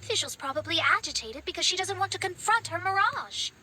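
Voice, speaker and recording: A woman's voice: extremely high pitch, animated, brisk, sharp tone, slight background noise, full character voice. A woman playing a self-assured young astrologer in a video game, articulate, proper and analytical as she explains things. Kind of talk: video game character acting. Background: game music.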